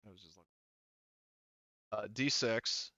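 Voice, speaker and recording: Bright-voiced man hesitantly running a tabletop game. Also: lowish voice, unhurried, creaky, no room sound. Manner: hesitant, thinking aloud. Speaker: game master. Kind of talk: casual tabletop game refereeing. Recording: band-limited, dull dry audio.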